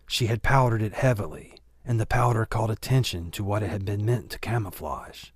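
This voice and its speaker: A man's voice: lowish voice, a little fast, slightly rough, dry recording, studio quality. A man narrating a novel as a scripted audiobook reading.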